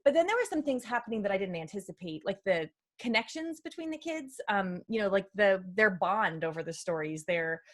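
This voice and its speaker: A middle-aged woman, telling personal stories as an interview guest in an animated, informal way. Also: medium pitch, fairly quick, hardly any pauses. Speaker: interview guest, author and podcaster. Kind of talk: casual conversational storytelling.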